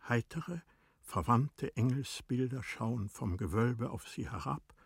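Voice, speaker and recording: Male narrator for classic literature: very husky, slow and solemn, measured phrasing. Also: mid-range voice, dry recording, studio quality.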